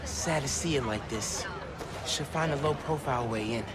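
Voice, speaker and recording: An actor playing an earnest young American superhero, thinking aloud in casual, clipped phrases as in a video game. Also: male voice, mid-range voice, moderate pace, a crowd in the background, close to an everyday voice. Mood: pensive, focused. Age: young adult. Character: earnest, curious teenage male superhero investigating. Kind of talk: video game voice acting, self-talk while exploring. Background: background music.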